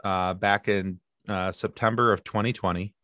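A man guesting on a podcast, telling stories in a lively chatty way with quick asides and restarts. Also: fairly low pitch, dry recording, clean studio sound. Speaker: podcast guest, sci-fi podcast co-host. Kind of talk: casual interview chat.

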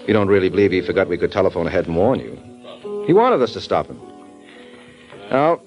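An actor playing a wry, teasing private detective who lays out a case in an old radio drama. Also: male voice, lowish voice. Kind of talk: 1950s radio acting. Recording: dull old radio broadcast with music.

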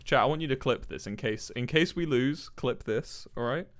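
A man's voice: medium pitch, moderate pace, fairly bright tone. A man live streaming a game, chatting casually with jokey, sweary banter. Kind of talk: casual gaming chat.

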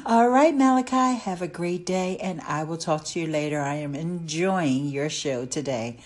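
A woman's voice: sits low, measured pace, a little mellow. A woman calling in with a casual, hesitant and curious message.

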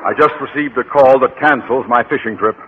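An actor playing a pompous, irritable, sarcastic middle-aged school principal in a radio sitcom, blustering theatrically. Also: male voice, medium pitch, brisk, narrow, tinny audio, close to an everyday voice. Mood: pompous, indignant. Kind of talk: 1950s radio sitcom acting. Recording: old radio recording, clear hiss.

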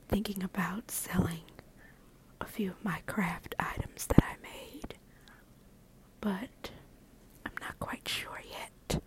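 A middle-aged woman speaks entirely in a soft unvoiced whisper, unhurried and gentle. She draws words out and lets repeated words trail off to almost nothing, in a soothing ASMR manner. Her nerves show lightly beneath the calm. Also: medium pitch, rough recording. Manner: slow, soothing, repeats words fading away. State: nervous. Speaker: podcast host.

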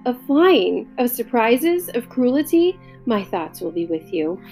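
A woman voicing a calm, wise alien matriarch who speaks in a measured, solemn way. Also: fairly high pitch, soft background music, exaggerated voice.

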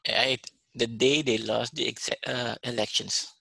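A man's voice: medium pitch, fairly even intonation, a little slow, gravelly, sharp tone, husky, no echo. A man co-hosting a casual podcast, talking hesitantly with trailing fillers. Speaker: podcast co-host.

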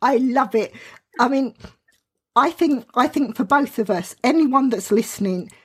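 A woman with a Southern English accent talks in a casual podcast conversation, bubbling over with enthusiasm. Her voice is a little high and carries a husky, breathy edge. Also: expressive. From southern England. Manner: effusive, quick to enthuse.

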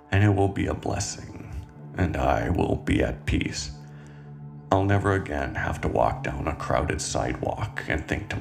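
A man playing a calm, self-justifying cannibal killer in a brooding, deliberate horror monologue. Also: deep voice, creaky, exaggerated voice. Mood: bleak, brooding. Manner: brooding, deliberate, measured with dramatic pauses. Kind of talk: scripted horror monologue, dramatic narration.

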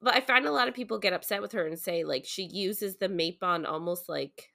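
A woman's voice: medium pitch, a little fast, vocal fry, sharp tone. A woman co-hosting a podcast in a casual book discussion, speaking in an earnest, informal way and hedging her opinions as she goes.

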